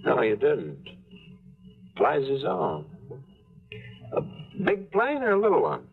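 An actor playing a dry, wisecracking adventurer in a 1950s radio drama, tinny old broadcast. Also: male voice, medium pitch, huge pitch swings, slow, creaky, faint music behind, full character voice. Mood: cool. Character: wisecracking adult male tour guide adventurer. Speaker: narrator and lead character.

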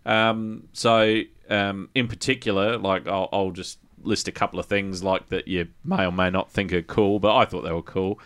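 An Australian man hosting a gaming podcast, chatting casually and haltingly with plenty of swearing and colloquial turns. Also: low voice, steady tone, fairly bright tone, smooth. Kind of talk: casual chat.

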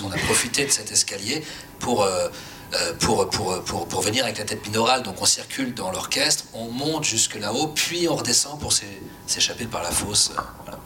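A man's voice: on the low side, animated, normal speed, background noise. A man speaking as a creator on a panel, explaining his project informally and often rephrasing himself. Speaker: series creator and writer on a panel.

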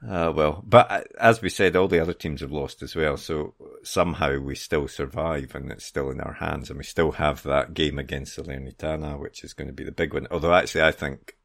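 A man's voice: rumbling low, fairly even intonation, fairly quick, creaky, hardly any pauses, fairly bright tone. A man co-hosting a football podcast in casual conversation about the sport.

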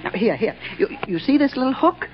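A man's voice: extremely high pitch, brisk. An actor in a 1940s radio drama playing a deranged, fearful male night watchman and bomber who stammers, giggles and repeats himself. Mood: gleeful, manic. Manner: stammering, repetitive, giggling, excitable. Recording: muffled old radio broadcast.

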